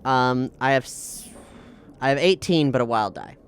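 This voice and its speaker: A woman playing a tabletop game, talking casually and haltingly, often repeating herself. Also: rumbling low, clean tone. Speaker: player.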